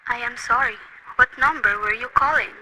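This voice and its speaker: A woman voicing a prim, formal telephone operator in a radio play, her voice slightly high. She gives clipped, polite answers through loud background noise. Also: brisk, close to an everyday voice.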